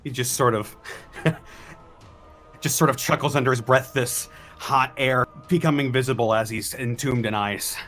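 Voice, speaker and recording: A man's voice: mid-range voice, steady tone, measured pace, long pauses, a bit bright. A man talking casually as a player in a tabletop game, suggesting and describing his character's actions. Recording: music playing behind the voice.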